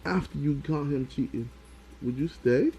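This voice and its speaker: A man's voice: a little high, normal speed, warm tone, a little hiss or hum, rough recording. A man co-hosting a relationship podcast in casual chat, pressing his point and repeating it. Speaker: podcast co-host.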